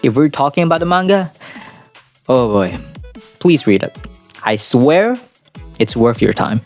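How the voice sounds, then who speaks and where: Creaky-voiced man reviewing anime over music. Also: mid-range voice, sing-song, measured pace, a little mellow. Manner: informal, profane, dramatic asides. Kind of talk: casual opinionated video essay commentary. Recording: background music under voice.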